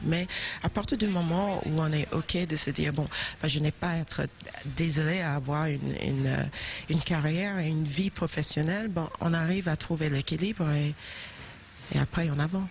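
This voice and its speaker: A woman's voice: low-pitched, normal speed, slight background noise. A woman from South Carolina with an American accent, answering interview questions in French. She is thoughtful and hesitant, with many fillers and restarts. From South Carolina, USA. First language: English. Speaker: singer, interview guest.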